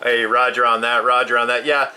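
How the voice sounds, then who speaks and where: A man's voice: medium pitch, little pitch movement, fairly quick, pushed and bright, clear, resonant tone. A man in his fifties chatting casually as a CB radio operator. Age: 50s.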